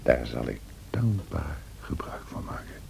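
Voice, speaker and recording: A man's voice: extremely low pitch, big pitch swings, unhurried, creaky, a little mellow, in a whisper, heavily acted. An actor playing a brooding, vengeful man in a radio drama, menacing and contemptuous in his delivery. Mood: bitter, threatening. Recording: noisy old radio drama recording.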